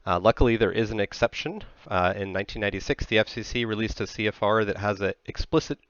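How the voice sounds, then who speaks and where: Technical presenter, American male, 30s, measured explainer delivery, dry close headset sound with slightly muffled top end. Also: fairly low pitch, a bit bright, no echo.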